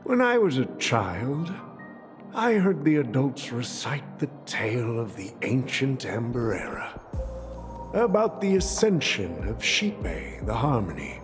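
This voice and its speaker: An actor playing a shrewd old family patriarch and merchant in a game, wary and theatrical, choosing words deliberately. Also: male voice, mid-range voice, very animated. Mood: grave, questioning. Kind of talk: scripted game cutscene dialogue. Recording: dramatic music under the voice.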